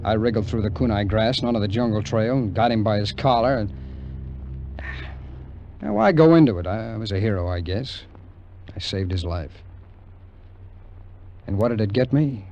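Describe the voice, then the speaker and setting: A man's voice: fairly low pitch, normal speed, pauses often, darkish tone, dull audio, a little hiss or hum, close to an everyday voice. An actor playing a wary, cynical American ex-soldier who tells his story in slangy, wry 1950s radio drama narration. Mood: hard-boiled recounting. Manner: slangy, hard-boiled, wry. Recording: vintage radio recording with music.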